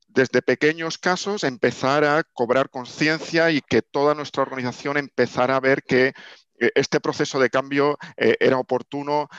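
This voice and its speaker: Gravelly-voiced man on a video call. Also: mid-range voice, dry recording. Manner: measured, with many restarts and hesitations. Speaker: conference speaker. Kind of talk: online conference talk presenting slides. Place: home office over a video call. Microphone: video call webcam mic. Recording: dull, dry video call audio.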